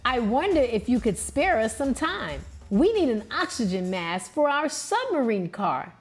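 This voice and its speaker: A woman voicing a curious, excited young schoolboy in a picture book, eager and questioning. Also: fairly high pitch, unhurried, faint music behind. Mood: polite, earnest.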